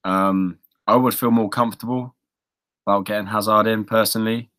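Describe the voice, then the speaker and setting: A man with a fairly low voice talks casually as a co-host on a sports podcast. He rambles as he goes, thinking aloud and working through his options in loose, conversational sentences. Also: fairly even intonation, moderate pace, fairly bright tone. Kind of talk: casual football fantasy league discussion.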